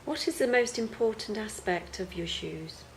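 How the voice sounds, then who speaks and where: A woman's voice: medium pitch, lively intonation, moderate pace, a little hiss or hum, low-quality recording. A woman interviewer putting short prepared questions to a guest.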